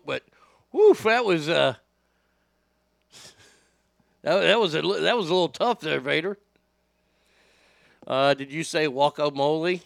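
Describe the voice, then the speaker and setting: A middle-aged man with a high voice that lacks bass, telling profane, animated stories as a radio host. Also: vocal fry, dry recording, studio quality. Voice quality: high voice without much bass. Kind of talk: casual storytelling podcast.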